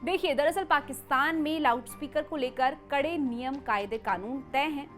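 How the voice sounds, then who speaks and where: A woman's voice: high-pitched, normal speed, faint music behind. A woman presenting scripted news in an emphatic, dramatic way. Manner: emphatic, dramatic delivery with rhetorical questions.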